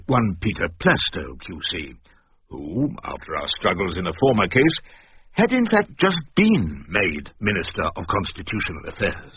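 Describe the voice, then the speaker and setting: A man's voice: fairly low pitch, dull audio, slight background noise. A man playing an old English barrister who narrates his own story in a radio drama with dry, literary irony. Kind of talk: scripted first-person radio drama narration.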